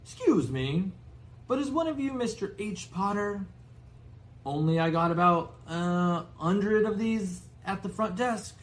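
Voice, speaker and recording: Man voicing a high-pitched polite hotel landlady. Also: animated, unhurried. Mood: polite, hesitant. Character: female hotel owner, politely enquiring.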